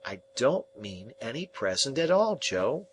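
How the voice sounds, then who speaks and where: A man voicing an earnest, wistful young male apprentice who asks soft, pleading questions. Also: mid-range voice, big pitch swings, unhurried, a little hiss or hum, pitched above the performer's own voice.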